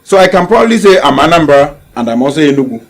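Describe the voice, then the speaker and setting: A man's voice: fairly high pitch, sing-song, quick pace. Insistent young Nigerian man. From southeastern Nigeria. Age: young adult. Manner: insistent, repeats himself to take the floor. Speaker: young political candidate. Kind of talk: panel discussion.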